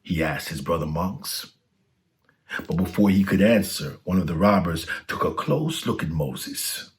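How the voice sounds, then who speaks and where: A man's voice: deep voice, animated, measured pace. A man reading a children's storybook aloud as a scripted narration.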